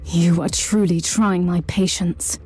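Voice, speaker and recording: An actress playing a stern, impatient inquisitor in a sci-fi video game, speaking with formal, curt authority. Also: female voice, fairly low pitch, steady tone, slow, a little mellow, slight background noise. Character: stern, commanding female inquisitor, impatient and humorless.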